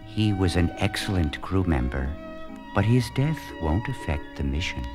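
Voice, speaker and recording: A man voicing a calm, polite, menacing ship's computer with a measured, unemotional delivery in a children's read-along audio drama. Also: sits low. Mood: calm, cold. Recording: music under the voice.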